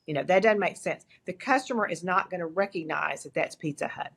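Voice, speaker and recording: A woman's voice: fairly low pitch, fairly quick, gravelly, husky. Older woman with an East Texas drawl. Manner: candid, animated storytelling with frequent restarts. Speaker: guest, agency founder. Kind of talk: interview conversation.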